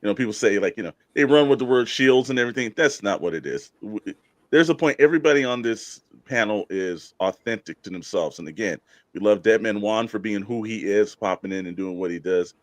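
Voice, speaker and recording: Low-pitched man hosting a casual panel. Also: animated, a little fast, dry recording. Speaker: host.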